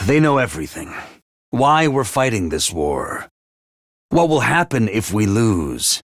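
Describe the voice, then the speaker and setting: A man voicing an excitable, theatrical mascot commander of a military school in a video game, speaking emphatically. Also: on the high side, dry recording, studio quality, exaggerated voice. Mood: grave, remorseful. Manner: theatrical, emphatic, swinging from grave to bombastic. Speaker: commander addressing students. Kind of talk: animated video game voice acting.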